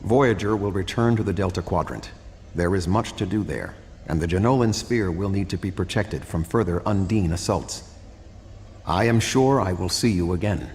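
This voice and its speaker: An actor playing a grave, logical alien statesman in a video game, speaking in a measured, formal way. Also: deep voice, a little mellow. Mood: formal farewell. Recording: reverberant game audio, faint background hum.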